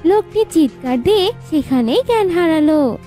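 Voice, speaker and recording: Very high, mellow woman storyteller. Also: lively intonation, moderate pace. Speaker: story narrator. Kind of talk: scripted cartoon storytelling. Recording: music behind the voice.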